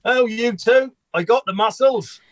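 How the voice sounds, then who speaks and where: A man's voice: very high-pitched, unhurried. A man acting a genial, slightly hapless village customer in a comedy radio play, chatty and mild-mannered.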